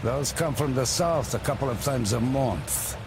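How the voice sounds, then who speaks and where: A man playing a weary, principled submarine captain in a video game, talking in a measured, firm, explanatory way. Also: medium pitch, background noise. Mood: relaxed, explanatory. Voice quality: gravelly, creaky. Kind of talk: video game cutscene dialogue.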